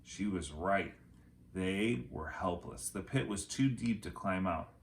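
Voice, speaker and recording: A man reading a children's chapter book aloud as a teacher would, with expressive, dramatic phrasing. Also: deep voice, slow, a little hiss or hum. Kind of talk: storybook read-aloud narration.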